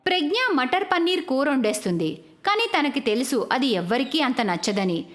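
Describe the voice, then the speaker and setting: High-voiced woman narrating a cartoon. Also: very animated, moderate pace.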